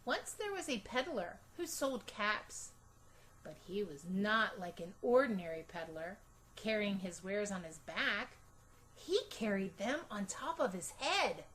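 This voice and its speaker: A woman reading a children's story aloud as a storytime narrator, expressive and deliberate, with dramatic emphasis for young listeners. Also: medium pitch, a little hiss or hum, poor audio. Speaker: storytime reader.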